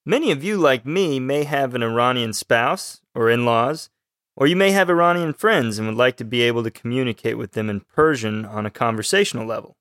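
Young texan guy learning along on a podcast, friendly even voice, dry close sound. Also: medium pitch, brisk, no echo. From Texas, USA. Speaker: co-host, learner. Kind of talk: scripted podcast lesson.

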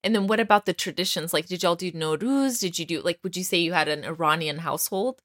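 A woman interviewing a guest, asking calm guiding questions. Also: lowish voice, fast talker, no room sound, clean studio sound. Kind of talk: casual interview.

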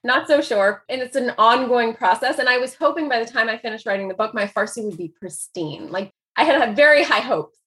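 A woman's voice: a little high, expressive, brisk, few pauses, fairly bright tone. A woman in her forties from the United States, talking casually as an author being interviewed, with lots of "like" and frequent laughter. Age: 40s. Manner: chatty, laughs often, many "like" fillers. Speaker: author guest.

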